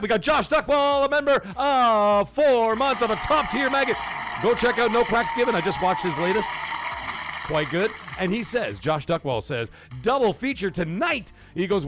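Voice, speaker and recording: A man hosting a casual livestream, loudly thanking chat supporters between serious talk. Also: sits high. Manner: hyped, shouts out chat supporters. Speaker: livestream host.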